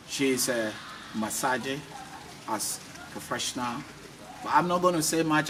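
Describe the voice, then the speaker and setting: A man's voice: mid-range voice, fairly bright tone. Ghanaian man hosting over noisy background. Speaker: host, interviewer. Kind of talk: on-camera interview for an online talk show. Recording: clearly noisy ambient background.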